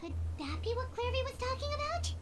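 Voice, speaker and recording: A woman's voice: very high voice, big pitch swings, a little fast, noisy background. A woman voicing a small, childlike fairy companion in a video game, earnest and chatty. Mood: doubtful. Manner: refers to self in third person.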